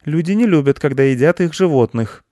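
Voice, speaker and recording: A man's voice: on the high side, animated, fairly quick, warm tone, no room sound, clean studio sound, pitched above the performer's own voice, close to an everyday voice. A man voicing an earnest, inquisitive, kind little boy in a storybook, with a questioning manner. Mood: earnest, firm.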